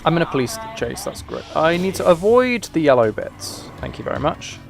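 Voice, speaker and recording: A man's voice: on the high side, very animated, brisk, vocal fry, faint music behind. A man chatting casually as he streams a video game, with dry, wry asides. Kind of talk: casual livestream gaming chat and commentary.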